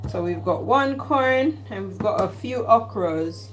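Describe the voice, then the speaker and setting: A woman's voice: mid-range voice, animated, measured pace, a little hiss or hum. Jamaican lady cooking at home, chatty, narrating every step, pots and cutlery clanking behind. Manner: chatty, talks herself through each step. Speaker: home cook vlogger. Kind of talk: casual cooking vlog talk. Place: home kitchen. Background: clatter of dishes and cutlery.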